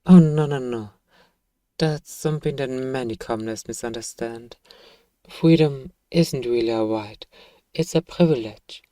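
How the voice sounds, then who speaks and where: A man playing an evil emperor who is a soft-spoken, paternal and self-righteous father, speaking gently and formally in an ASMR bedtime role-play. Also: medium pitch, dry recording, lightly acted. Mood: patiently correcting. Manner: gentle, measured, formal, persuasive.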